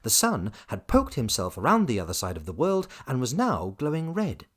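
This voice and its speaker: A narrator telling a children's folktale in an expressive storybook style, with a husky voice. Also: mid-range voice, moderate pace, no room sound, clean studio sound.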